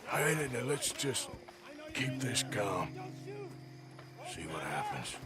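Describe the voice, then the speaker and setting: An actor playing a weary, gruff outlaw in a game cutscene, dry and sarcastic. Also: male voice, fairly low pitch, sing-song, slow, over loud music. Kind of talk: video game cutscene acting.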